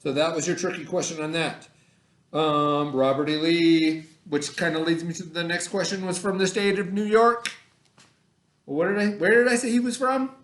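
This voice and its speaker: Guy teacher talking to little kids, kinda high voice, lots of check-in questions, roomy echo. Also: fairly even intonation, normal speed, fairly bright tone. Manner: conversational, checks understanding with questions and repetition. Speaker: elementary school teacher. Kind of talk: read-aloud lesson for children, casual explaining. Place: indoor room, remote video lesson. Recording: echoey room.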